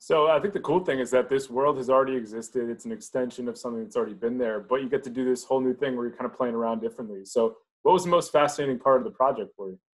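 A man in his thirties hosting an interview over a video call, asking brisk, friendly questions. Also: mid-range voice, poor audio. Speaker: interview host. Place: home office with bookshelves, indoors.

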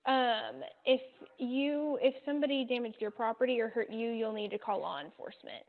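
Woman, receptionist, fairly high voice, polite, procedural, customer service, narrow muffled phone sound. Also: normal speed. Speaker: law office receptionist. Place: on a phone call.

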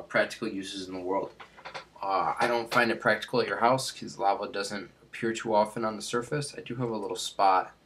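A man's voice: lowish voice, fairly bright tone. A young man with a stuffed-up nose hosting a casual game tutorial, rambling with many fillers and restarts. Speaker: Minecraft tutorial host.

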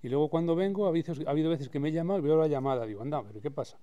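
A man in his sixties with a slightly high voice. He chats casually and in a folksy way, often repeating his words. He is recorded outdoors. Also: rapid-fire, dark tone, dull audio. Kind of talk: casual chat. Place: outdoors in a village and vegetable garden.

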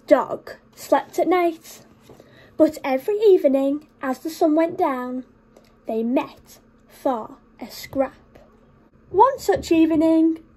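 A woman in her twenties reading a story to young children in an expressive, child-directed nursery teacher's manner. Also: high-pitched, poor audio. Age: 20s. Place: small furnished room at home, indoors.

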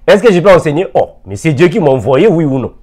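A man's voice: a little high, big pitch swings, quick pace, a little mellow, breathy edge. A man in his forties teaching on video from an armchair indoors, firing rhetorical questions at his listeners. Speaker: preacher. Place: indoor room, seated in armchair. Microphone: lapel mic.

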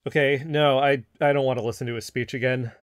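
A man playing an agitated amateur investigator, halting and insistent, in a conversational audio drama. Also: medium pitch, steady tone, close to an everyday voice.

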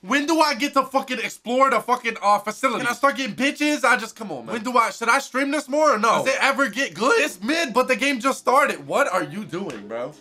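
A young man in his twenties, a streamer giving crude, loud, excitable commentary while playing a game, talking into a headset in his bedroom. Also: very high voice, fairly quick, few pauses. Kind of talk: crude live gaming commentary. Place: pink-lit bedroom, indoors.

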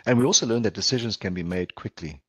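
A man's voice: fairly low pitch, fast talker, fairly bright tone, breathy edge, no echo, studio quality. A middle-aged man with a South African accent, a Portuguese native speaker, giving an earnest conference talk over a video call with frequent ums and restarts. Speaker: palliative care physician presenter. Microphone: video call headset or laptop mic.

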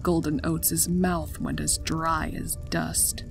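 A woman narrates a story in a scripted, read-aloud style. Her voice sits on the low side, with faint music playing underneath. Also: level tone, slow pace, creaky. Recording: music behind the voice. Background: background music.

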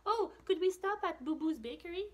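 A woman's voice: extremely high pitch, animated, fairly quick, voice pushed up. A woman voicing a mild, earnest, childlike little male cheetah from a storybook. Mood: polite.

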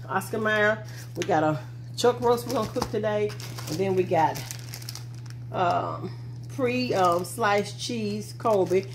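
A middle-aged woman chatting casually to her viewers as she drives and then shows her groceries, rambling from one thing to the next. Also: mid-range voice. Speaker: vlogger. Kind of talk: casual vlog chat. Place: in a car, then at a kitchen table. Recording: noisy car recording.

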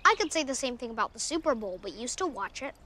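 High-pitched, precise young boy. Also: very animated, fast, bright, forceful tone, slight background noise, exaggerated voice. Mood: insistent. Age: child. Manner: precise, formal, insistent. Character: earnest, precocious young science-obsessed boy. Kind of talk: sitcom acting.

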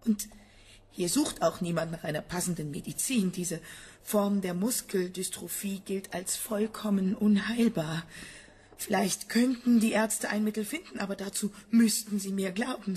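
An actress playing a sick, embittered scientist's wife in a radio drama, agitated, halting and insistent. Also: female voice, mid-range voice, darkish tone, slightly rough, close to an everyday voice. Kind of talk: German radio drama acting.

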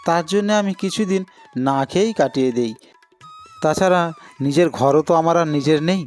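A man's voice: a little high, a little fast, a little mellow, soft background music, close to an everyday voice. A man as a humble, earnest poor village brother. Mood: earnest, weary. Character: poor, self-sacrificing, humble elder brother, a village labourer. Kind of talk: Bengali moral-story cartoon acting.

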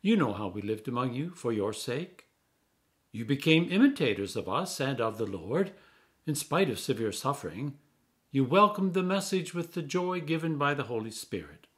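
An older man reading scripture solemnly as a clergyman, with measured, deliberate phrasing and emphatic stresses. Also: medium pitch, sing-song. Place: small indoor room.

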